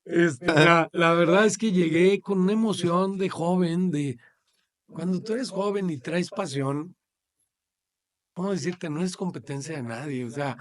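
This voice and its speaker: A middle-aged man with a Mexican accent, recounting his own life as a podcast guest. His voice sits a little high for a man, and he talks in an animated, slangy way. He laughs easily as he goes. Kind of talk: storytelling in casual interview.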